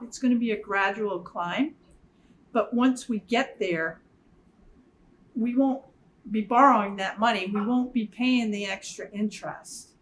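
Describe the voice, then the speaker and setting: Woman, selectboard member, firm and explanatory, slightly high voice, faint noise. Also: low-quality recording. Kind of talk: meeting discussion. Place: town meeting room.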